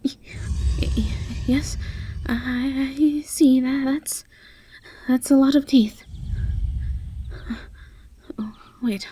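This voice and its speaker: A woman acts out a shy young freshman girl in a fantasy audio play. She speaks slowly and softly, stammering nervously, with a gentle, kind-hearted temper. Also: sits high, slight background noise. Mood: timid. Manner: timid, heavily stammering. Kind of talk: amateur fantasy audio roleplay acting.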